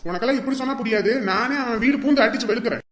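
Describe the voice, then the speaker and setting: A man voicing a groom who speaks gently to his bride and shouts in anger at others. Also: extremely high pitch, very fast, a little hiss or hum. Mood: shouting. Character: hot-tempered man, groom and angry brother.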